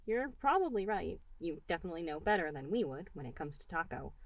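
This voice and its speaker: A woman's voice: on the low side, lively intonation, quick pace. A woman voicing a careful, questioning cartoon test tube with a hesitant, reasoning manner. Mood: cautious, doubtful.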